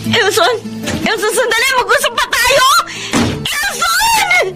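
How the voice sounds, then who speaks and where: A woman's voice: extremely high pitch, very animated, unhurried, shouting, loud music behind the voice, exaggerated voice. An actress playing a tearful young wife in a Filipino radio drama, emotional and pleading in her delivery. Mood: desperate.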